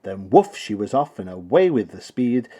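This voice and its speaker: A man narrates a rhyming children's picture book in a theatrical, rhythmic way. His pitch moves around in a very lively manner, and the voice has a slightly husky edge. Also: normal speed. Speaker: storybook reader.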